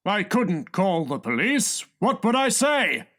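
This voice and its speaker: A man's voice: sits high, very animated, takes it slowly, a bit bright, pitched above the performer's own voice, full character voice. A man voicing a pompous, exasperated gang leader with blustering, emphatic delivery in a comic audiobook. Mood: scolding. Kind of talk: comic audiobook character reading.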